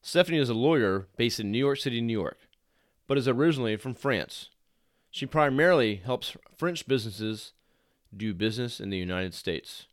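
A male podcast host giving a scripted introduction of his guest in an even, read-aloud manner. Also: mid-range voice, normal speed, dry recording, clean studio sound.

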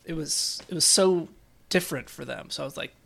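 A man's voice: a little high, fairly quick, gravelly, husky. A man co-hosting a casual podcast discussion, telling stories with animation and acting out quotes. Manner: animated storytelling, acts out quotes. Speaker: podcast co-host, music theory teacher.

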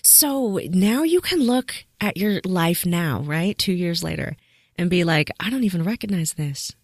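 A woman's voice: on the low side, expressive, unhurried, no room sound. Encouraging woman podcast host, dry sound. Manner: warm, encouraging and enthusiastic. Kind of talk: casual interview chat.

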